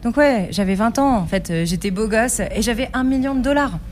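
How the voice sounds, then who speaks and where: A woman working as an interpreter, relaying a guest's answers quickly and conversationally. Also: a little high, a little mellow, a little hiss or hum. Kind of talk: live interpretation.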